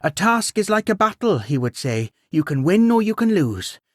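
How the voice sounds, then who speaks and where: A man voicing a gently persuasive widowed queen. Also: high voice, big pitch swings, normal speed, no echo, studio quality, pitched above the performer's own voice. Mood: fond. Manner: gentle but firm persuasion. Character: grieving, shrewd, kindly widowed queen.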